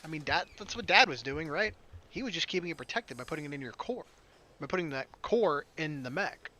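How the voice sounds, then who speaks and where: A man's voice: a little high, expressive, brisk, bright, forceful tone. A man hosting a gaming video, reading a visual novel aloud expressively and dropping in casual asides.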